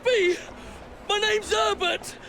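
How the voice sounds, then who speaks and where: A man's voice: very high-pitched, steady tone, takes it slowly, background noise, heavily acted. A man playing a frightened, protesting hired lackey of Victorian London in a video game cutscene. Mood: panicked.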